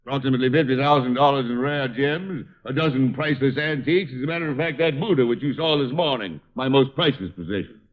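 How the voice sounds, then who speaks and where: An actor playing a haughty, irritable wealthy art collector in an old radio drama, with pompous, formal, disdainful delivery. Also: male voice, mid-range voice, fast, few pauses, natural voice. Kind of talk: 1950s radio detective drama acting. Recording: dull band-limited old radio recording.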